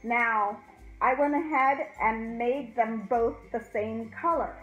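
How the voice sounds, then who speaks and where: A woman's voice: fairly high pitch, slow pace, fairly bright tone, muffled recording. A middle-aged woman hosting a casual craft tutorial, chatting informally and directly to her viewers. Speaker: host of a craft channel. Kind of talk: casual DIY tutorial chat. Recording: roomy echo, faint music.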